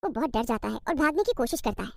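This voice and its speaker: A woman's voice: fairly high pitch, animated, fast, vocal fry, no echo, clean studio sound. A woman reading scripted narration for a cartoon story.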